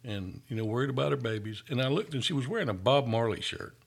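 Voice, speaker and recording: A man's voice: medium pitch, fast talker, vocal fry. A man who works as a paramedic, telling stories in an earnest, easygoing way during a casual chat. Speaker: paramedic, podcast host.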